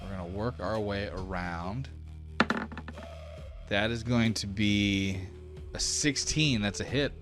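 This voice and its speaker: A man's voice: on the low side, slow pace, pauses often. A man running a tabletop game as game master, moving between dramatic combat narration and casual rulings. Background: background music.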